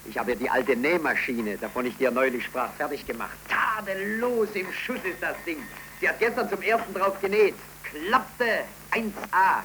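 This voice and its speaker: A man's voice: fairly high pitch, expressive, normal speed, pushed and bright. An actor playing a cheerful, teasing young workman who is proud of his tinkering, in 1930s German radio style. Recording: faintly noisy 1931 radio recording.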